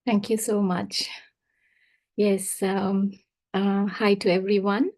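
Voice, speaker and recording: A woman from Singapore telling her personal story as a guest speaker, hesitant, with frequent ums and pauses. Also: medium pitch, level tone, a little mellow.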